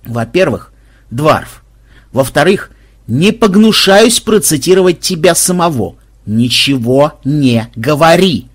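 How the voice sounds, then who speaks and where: Narrator doing male dwarf, stocky, gruff, cold, pedantic, husky, audiobook fantasy. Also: medium pitch, animated, slow pace, long pauses.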